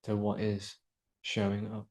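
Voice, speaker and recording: Male yoga nidra guide, lowish pitch, very flat intonation, soothing and unhurried delivery. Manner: soothing, unhurried, pausing between short phrases. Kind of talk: guided relaxation meditation.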